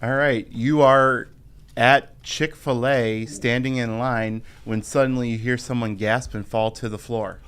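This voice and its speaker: A man reading out a CPR training scenario in a podcast studio, giving measured, deliberate prompts. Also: mid-range voice, fairly even intonation, fairly bright tone, clear, resonant tone. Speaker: fire museum director and CPR instructor.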